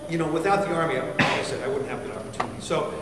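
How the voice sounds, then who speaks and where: A man in his forties, originally from Chile, gives a chatty talk to cadets and keeps throwing questions at the crowd. His slightly high voice echoes around a large hall and is picked up from far off. There is steady noise in the background. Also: racing. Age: 40s. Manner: chatty, asks the audience questions. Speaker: army band musician.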